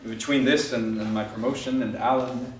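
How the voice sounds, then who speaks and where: A man's voice: medium pitch, fairly even intonation, normal speed, natural voice. An actor playing a sensible, skeptical young man in stage musical theatre, with a hesitant, wry, flustered delivery. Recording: echoey stage, distant mic, faint noise.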